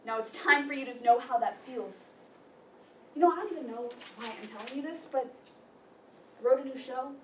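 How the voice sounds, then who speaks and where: Lady playing hurt fed up sister in a play reading, high pitched, far from mic w some noise. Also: moderate pace, long pauses, a bit bright. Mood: hurt, resolute. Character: fed-up, hurt, bitter sister of a troubled man. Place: meeting room staged reading, distant camera. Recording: echoey room from far off, noisy.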